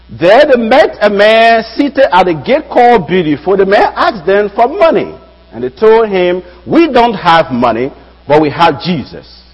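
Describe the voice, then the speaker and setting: A young man from Liberia, a Bible student, preaching a sermon in a church with measured delivery and rising emphasis on key phrases. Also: high-pitched. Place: church sanctuary. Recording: dull lo-fi sound with long hall reverb.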